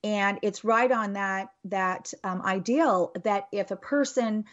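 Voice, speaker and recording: Woman, podcast host, enthusiastic, rambling, filler-heavy, middle pitch, muffled sound. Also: slow pace, fairly bright tone. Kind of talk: interview host.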